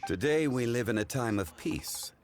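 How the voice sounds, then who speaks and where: A man playing a refined, leisurely old-souled gentleman in a video game, speaking in a formal, measured, contemplative way. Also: mid-range voice, expressive, natural voice. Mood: composed. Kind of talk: English video game voice acting. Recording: music behind the voice.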